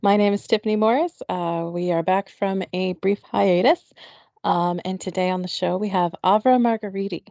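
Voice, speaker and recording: A woman's voice: mid-range voice, steady tone, normal speed, creaky, warm tone, no echo, lo-fi sound. A woman from Atlantic Canada hosting a podcast, chatting in a friendly casual way with many ums. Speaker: podcast host. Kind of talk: casual interview chat.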